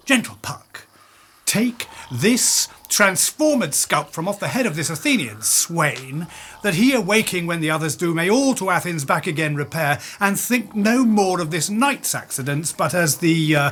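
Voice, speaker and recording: Man as stately fairy king for radio Shakespeare, high-pitched and deliberate, slight noise on the recording. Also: very animated, a bit bright. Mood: solemn, tender. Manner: stately, deliberate verse delivery. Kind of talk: Shakespearean radio play acting.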